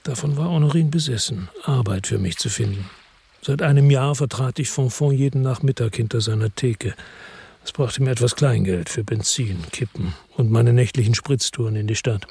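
A man's voice: mid-range voice, moderate pace, creaky, darkish tone, a little hiss or hum, lightly acted. An actor playing a world-weary middle-aged ex-cop who narrates his story in the first person in a radio drama, laconic and reflective.

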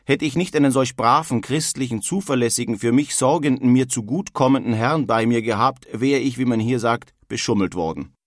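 A man's voice: mid-range voice, a little fast, few pauses, dry recording, studio quality, natural voice. An actor playing a plain-spoken German emigrant who earnestly reads his old-fashioned letter home in a radio feature. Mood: earnest recounting. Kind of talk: dramatised reading of historical letters.

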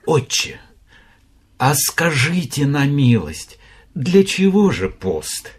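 A man's voice: on the high side, big pitch swings, slow. An actor playing a respectful but doubtful man questioning a monk in a radio play. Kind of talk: radio drama acting.

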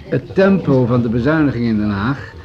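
An actor playing a pragmatic, patronizing municipal official in a radio drama, speaking in measured, formal, argumentative sentences. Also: male voice, mid-range voice, expressive, dull audio, close to an everyday voice. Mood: businesslike, grave. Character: pragmatic, patronizing male municipal official pushing budget cuts. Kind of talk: Dutch radio drama acting.

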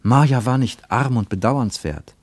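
An actor narrating a literary radio play as a grave, reflective storyteller in a measured manner. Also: male voice, medium pitch, husky, no room sound, clean studio sound. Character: grave, reflective male storyteller of a travel tale.

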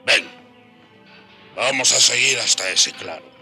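Proud bitter runaway slave rebel in a radio drama, sharp forceful voice, real slow. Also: male voice, mid-range voice, gravelly, faint music behind, full character voice. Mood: resolute, devout. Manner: emphatic, deliberate.

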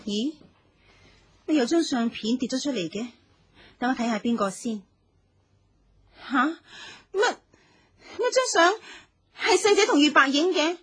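Jealous, high-pitched Cantonese wife. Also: female voice, animated, a little slow, pauses often, no echo. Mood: concerned. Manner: scolding, dramatic, insistent. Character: jealous young wife, suspicious, demanding and tearful. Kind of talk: Cantonese radio drama acting.

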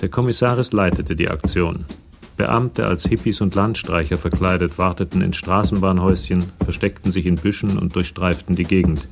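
A man narrating a German radio crime drama in a measured, factual storytelling manner. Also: low-pitched, gravelly, over music.

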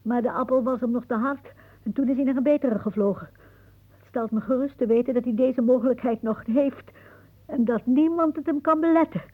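A weary, old-fashioned woman performed by an actress in a radio play, wistful and defiant in a theatrical way. Her voice is fairly high and husky, heard through a thin, muffled old recording. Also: a little fast, close to an everyday voice. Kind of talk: radio drama acting.

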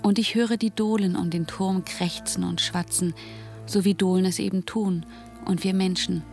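An actress playing a devoted, anxious young wife, tenderly narrating a letter in a radio drama. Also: female voice, medium pitch, fairly even intonation, creaky, darkish tone, soft background music, lightly acted. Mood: tender, wakeful. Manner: tender, measured reading.

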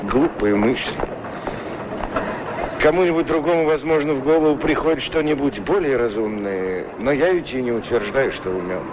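A man's voice: medium pitch, expressive, highs cut off, natural voice. An actor playing a middle-aged, legless street shoeshine man, musing with wry self-deprecation in an old radio play. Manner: musing, self-deprecating, halting short phrases. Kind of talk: Soviet radio play acting, musing monologue. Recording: noisy 1960s radio drama recording. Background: outdoor street ambience sound effects.

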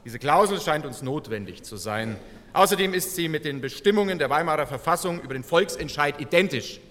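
Combative male parliament member, emphatic and formal, bright forceful tone, hall reverb. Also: on the high side, lively intonation, fairly quick. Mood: firm, formal. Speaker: actor in a documentary radio play. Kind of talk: dramatised parliamentary debate.

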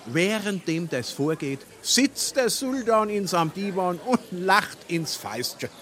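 A man's voice: sits high, sing-song, measured pace, a bit bright, exaggerated voice. A man playing a self-important, lecturing middle-aged newspaper fanatic with a Hessian accent in a dialect comedy. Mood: pompous, lecturing. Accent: Hessian, Darmstadt dialect. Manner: pompous, lecturing. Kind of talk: dialect stage comedy acting.

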